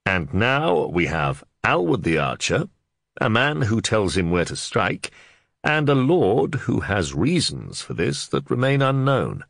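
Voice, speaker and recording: A man's voice: lowish voice, very animated, a little slow, creaky, fairly bright tone, no echo, studio quality, voice pushed up, heavily acted. A man voicing a shrewd, blunt medieval sergeant with plain, rustic speech and a scathing edge. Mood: reasoning. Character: shrewd blunt middle-aged male sergeant. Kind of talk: audiobook character voice.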